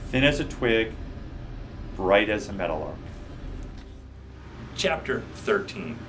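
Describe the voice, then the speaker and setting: A man narrating a children's audiobook in a measured, deliberate way, recorded at a slight distance from the mic. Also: fairly low pitch, very animated, noisy background. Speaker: guest storybook reader.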